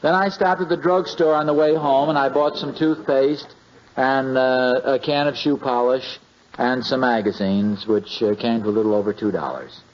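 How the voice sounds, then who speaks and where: Hesitant American actor as a husband, old muffled radio. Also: male voice, mid-range voice, normal speed, lightly acted. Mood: casual, rambling. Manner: hesitant, stammering, sheepish. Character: bumbling, mildly defensive suburban husband, easily flustered. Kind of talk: 1950s radio situation comedy acting. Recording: muffled, noisy vintage radio recording.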